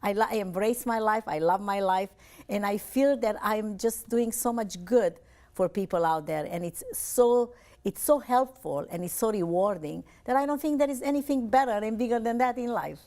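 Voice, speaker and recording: A woman's voice: medium pitch, normal speed, a little mellow. A woman from Romania, a life coach giving an earnest, upbeat television interview and often repeating her phrases.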